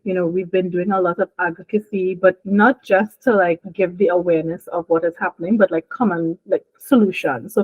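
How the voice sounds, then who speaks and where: Young woman from Trinidad and Tobago, lively interview guest, thin phone-line sound. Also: medium pitch, no echo. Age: young adult. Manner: lively, laughing, explanatory. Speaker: marine biologist guest. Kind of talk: casual interview chat.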